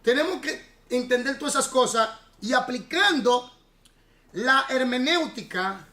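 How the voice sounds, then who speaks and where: A man's voice: very high-pitched, lively intonation, a little slow, sharp tone. A man who is a pastor leading a Bible study class, explaining at length and putting questions to his students. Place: church classroom. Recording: echoey room.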